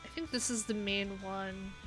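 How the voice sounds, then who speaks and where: A woman's voice: mid-range voice, fairly even intonation, normal speed, over music. A woman chatting casually on her own livestream, rambling with many fillers and restarts. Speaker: live coding streamer.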